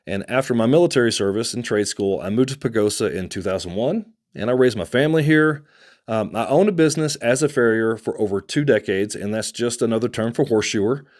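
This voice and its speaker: A man's voice: mid-range voice, expressive, fairly quick, darkish tone. Man, Texan accent, host, casual talk to camera, conversational, a few restarts. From Texas. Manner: conversational, with many restarts and fillers.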